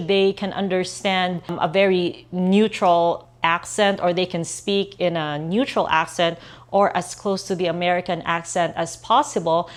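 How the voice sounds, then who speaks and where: A woman, talking straight to camera about her outsourcing business in an earnest, emphatic way. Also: on the low side. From Philippines. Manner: earnest, emphatic, repeats for stress. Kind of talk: direct-to-camera business talk.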